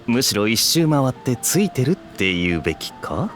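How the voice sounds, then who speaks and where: Cocky smooth anime captain guy voiced by a man, teasing, quick and very lively, bit of music. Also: medium pitch, lightly acted. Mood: nonchalant, teasing. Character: suave, teasing young cavalry captain. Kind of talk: Japanese anime game voice acting. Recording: game music behind.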